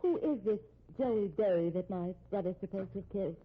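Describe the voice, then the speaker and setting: An actress playing a nervous, hesitant wife in a 1950s radio drama. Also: female voice, medium pitch, fairly quick, husky, close to an everyday voice. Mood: anxious questioning. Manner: faltering, nervous. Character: anxious, hesitant wife and sister of a fugitive. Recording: muffled, tinny old radio recording.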